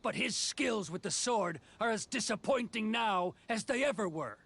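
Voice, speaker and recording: A man's voice: sits high, lively intonation, moderate pace, gravelly, fairly bright tone, husky, full character voice. A man voicing a stern, proud swordsman officer in a video game, clipped and haughty in delivery. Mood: dismissive. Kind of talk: English video game voice acting.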